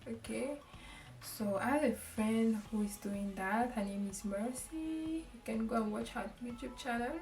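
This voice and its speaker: A young woman with a Cameroonian accent, giving casual advice to camera in a halting way, with lots of ums and restarts; she grew up speaking French. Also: a little high, a little mellow. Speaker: vlogger. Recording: echoey room, voice a bit far from the mic.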